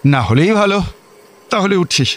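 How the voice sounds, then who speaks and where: An actor playing a nonchalant police superintendent in a radio comedy, dismissive and unhurried. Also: male voice, high-pitched, animated. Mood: businesslike. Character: nonchalant, brisk male district police superintendent. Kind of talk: Bengali radio comedy acting. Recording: faint background noise.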